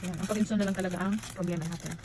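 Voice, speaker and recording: Fast-talking Filipino woman vlogger, noisy background. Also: fairly low pitch, steady tone. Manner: informal Tagalog-English code-switching. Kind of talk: casual unboxing and review chat to viewers.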